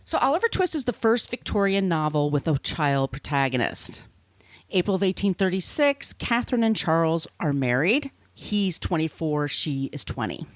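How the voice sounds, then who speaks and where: A woman's voice: on the low side, sing-song, normal speed, no room sound. A woman hosting a podcast, reading scripted narration with casual, irreverent asides. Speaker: podcast host.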